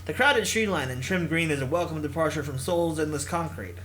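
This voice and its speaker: A man hosting a let's play, reading a visual novel aloud in an expressive narration. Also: a little high, brisk, slight background noise. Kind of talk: expressive read-aloud narration with casual asides.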